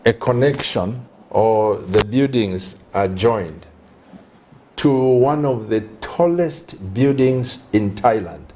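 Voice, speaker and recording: A man, hosting a conversational interview in a deliberate, halting way of phrasing. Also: lowish voice, lively intonation, mellow, a little hiss or hum. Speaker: host, church leader.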